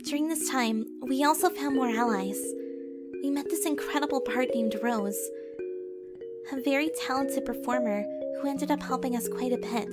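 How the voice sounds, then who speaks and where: A woman's voice: high-pitched, normal speed, over loud music, close to an everyday voice. A woman playing a young, wounded heroine who narrates her own past in an audio drama, earnest and solemn. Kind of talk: scripted first-person audio drama narration.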